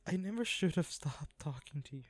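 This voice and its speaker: A man's voice: on the high side, lively intonation, brisk, husky, dry recording. A man playing a devoted, guilt-ridden boyfriend in an intimate audio roleplay, speaking in a pleading, tender, apologetic way. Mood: remorseful, pleading.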